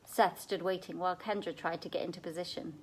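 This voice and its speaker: A woman reading a children's novel aloud in an expressive storytelling way, as at a library read-aloud. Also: on the low side, fast talker, slightly rough, poor audio.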